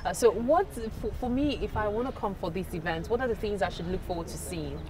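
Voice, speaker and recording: A woman's voice: mid-range voice, quick pace, darkish tone, a little hiss or hum. Chatty woman hosting morning TV. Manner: chatty, easily impressed. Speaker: morning TV show host. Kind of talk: breakfast TV studio chat.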